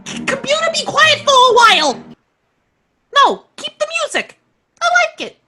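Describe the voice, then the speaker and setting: Very high, bossy alien receptionist. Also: female voice, very animated, moderate pace, music in the background. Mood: bossy, exasperated. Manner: theatrical, bossy, interrogating. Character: imperious, suspicious, excitable alien receptionist, easily bored. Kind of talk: comic sci-fi audio drama.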